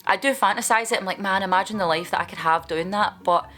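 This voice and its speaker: A woman's voice: mid-range voice, fast, sharp tone, faint music behind. A woman, vlogging in a chatty, candid way as she answers viewer questions, with playful asides. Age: 20s.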